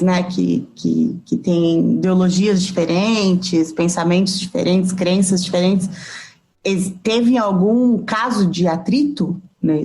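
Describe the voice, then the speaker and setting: A woman from Brazil hosting an interview, asking questions in a halting way and rephrasing as she goes. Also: fairly low pitch, darkish tone, poor audio. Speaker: podcast host.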